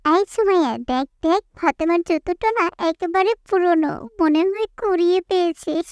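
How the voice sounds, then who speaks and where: Cartoon schoolgirl bully, taunting, very high-pitched, dry recording. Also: normal speed, darkish tone, dry recording. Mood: mocking. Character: mocking, teasing young schoolgirl. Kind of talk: cartoon character acting.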